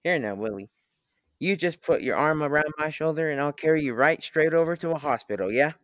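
A man's voice: fairly high pitch, expressive, fairly quick, a bit bright, dry recording. A young man playing a blustering, folksy, good-hearted rocket pilot in a classic radio serial. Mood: eager. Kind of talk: classic radio drama acting.

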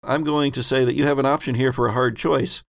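A man's voice: medium pitch, steady tone, a little fast, creaky, a bit bright. A man speaking casually as game master, guiding players through their choices in a tabletop game.

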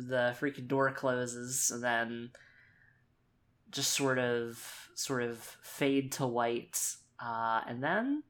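A man's voice: mid-range voice, fairly bright tone. A man narrating a tabletop roleplaying game as game master, hesitant and searching for words as he improvises.

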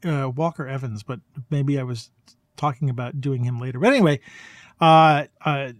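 A man in a casual podcast conversation, talking in a halting way as he searches for his words. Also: mid-range voice, dry recording, clean studio sound. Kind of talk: casual conversational podcast chat about photography.

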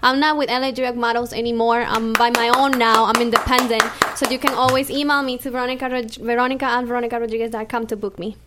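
A woman's voice: fairly high pitch, level tone, fast, few pauses, heavy background noise. A young woman from Venezuela with a Venezuelan accent, a guest chatting casually in a radio interview, filling her talk with "um" and "you know.".

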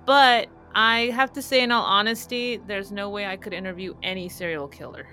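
A woman's voice: mid-range voice, moderate pace, faint music behind, rough recording. A woman in her thirties co-hosting a casual live podcast, reading out fan questions and laughing often. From Southern California. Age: 30s. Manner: laughs often, chatty and animated.

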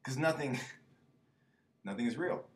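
A man co-hosting a podcast, joking sarcastically and swearing in casual banter, with a squeaky yet deep voice. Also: lively intonation, takes it slowly, far from the mic. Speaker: podcast co-host. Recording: echoey room, a bit off-mic.

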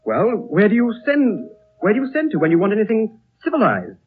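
Agitated traveler, highish swooping voice. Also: male voice, huge pitch swings. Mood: impatient, uneasy. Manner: halting, insistent, repeating himself. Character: impatient, agitated Western traveler, man anxious to leave. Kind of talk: 1930s radio drama acting. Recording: old tinny radio recording, music behind.

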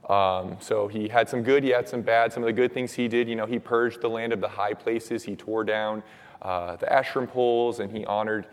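A young man with a hoarse, raspy voice preaching and praying in casual, earnest words with many fillers. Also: on the low side, fairly even intonation, normal speed. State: hoarse from shouting at camp. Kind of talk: sermon with prayer. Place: church hall. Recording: long reverb of a church hall.

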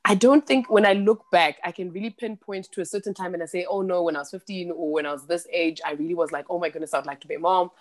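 A woman's voice: lowish voice, fairly even intonation, fast, few pauses. A woman in her late thirties who grew up in Zimbabwe, a podcast guest talking in a thoughtful, rambling way with many restarts. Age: 30s. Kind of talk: casual interview chat.

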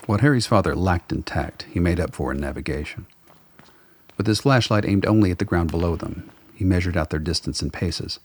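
A man with a very deep, husky voice narrates a radio drama. His scripted delivery is measured and faintly wry, in a storytelling style. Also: animated, darkish tone, dry recording.

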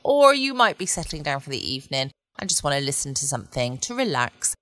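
Lower-voiced woman podcast host. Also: sing-song, brisk, a bit bright. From United Kingdom. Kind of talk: chatty bedtime podcast.

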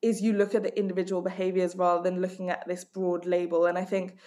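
A woman's voice: on the low side, flat delivery, quick pace, dark tone. A woman from Hong Kong, working as a life coach and author, giving direct and emphatic answers in a podcast interview.

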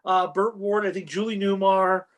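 A man co-hosting a podcast, talking casually about screen entertainment in a rambling, halting way that often circles back on itself. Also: high-pitched, steady tone. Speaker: podcast co-host. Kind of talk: casual panel chat about TV and film.

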